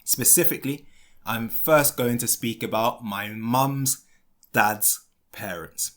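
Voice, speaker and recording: A man from London with a British English accent, hosting a podcast lesson and speaking clearly and deliberately for learners. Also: mid-range voice, a bit bright. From London, England. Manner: clear, deliberate delivery with simple phrasing. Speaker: English teacher and podcast host.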